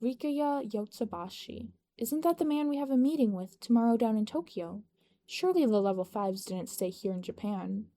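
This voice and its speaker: A woman's voice: on the high side, lively intonation, normal speed, dark tone. A woman voicing a self-assured, teasing young male leader in an audio story. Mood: wry, questioning.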